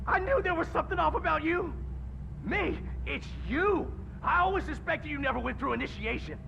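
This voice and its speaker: An actor playing a jittery, defiant drug-dealing punk in a video game, whiny, quarrelsome and strained. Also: male voice, extremely high pitch, animated, moderate pace, gravelly, pushed and bright, lo-fi sound, a little hiss or hum, exaggerated voice. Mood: aggressive panic.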